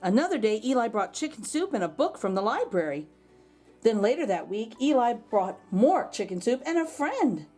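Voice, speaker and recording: Female kids' read-aloud host: higher register, swooping expressive delivery, dramatic storybook pacing, light music bed. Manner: animated, dramatic storytelling with drawn-out words. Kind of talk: expressive storybook narration for kids.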